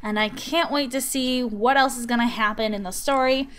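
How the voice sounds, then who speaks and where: A woman's voice: on the high side, fairly even intonation, normal speed, a bit bright. A woman hosting a gaming let's play, introducing a story she reads aloud. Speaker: gaming channel host. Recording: music behind the voice. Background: background music.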